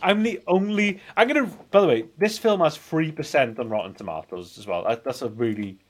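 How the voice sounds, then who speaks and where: Higher-pitched, rambling man. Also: a little fast. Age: 40s. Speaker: podcast co-host. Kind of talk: casual film-review banter.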